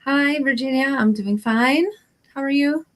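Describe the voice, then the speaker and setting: A Norwegian woman, a freelance translator, speaking as a guest on a video call, hesitant with many fillers. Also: high-pitched. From Norway. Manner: slow, hesitant, many "uh"s, laughs easily. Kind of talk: interview answers.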